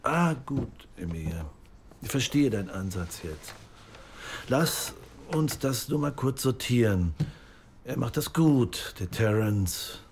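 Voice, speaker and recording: Thoughtful mentor guy, analytical, measured, middle pitch, slight background noise. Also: animated, a little mellow. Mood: pensive. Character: thoughtful male mentor weighing up a story. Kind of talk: naturalistic audio drama acting.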